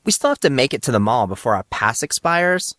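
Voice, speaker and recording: A man's voice: medium pitch, big pitch swings, brisk, a bit bright, dry recording, studio quality. A man voicing an upset, bossy teenage girl with an impatient manner in an audio drama. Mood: annoyed. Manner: impatient, annoyed.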